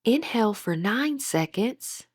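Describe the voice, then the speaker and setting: Breathy, slow-paced woman guiding meditation. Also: on the high side, expressive, no room sound, studio quality. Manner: gentle, measured instructing. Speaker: guided meditation instructor. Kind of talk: scripted guided meditation narration.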